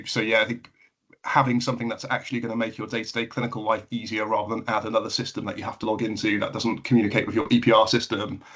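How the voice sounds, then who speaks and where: Halting, even-toned man, lowish voice. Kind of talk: conversational webinar panel answer.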